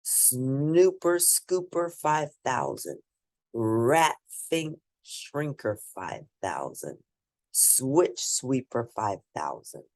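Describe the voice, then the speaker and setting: Animated low-voiced woman reading to children. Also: very slow. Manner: animated, emphatic storytelling. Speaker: children's book reader and host. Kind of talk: expressive read-aloud of a picture book with asides to young listeners.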